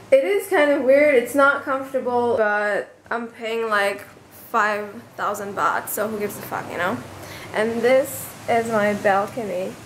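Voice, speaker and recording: Young woman chatting slowly, swearing casually. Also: fairly high pitch, roomy sound, background noise. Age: 20s. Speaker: travel vlogger. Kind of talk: casual vlog with room tour. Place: small rented room in a residence. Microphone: handheld camera at arm's length.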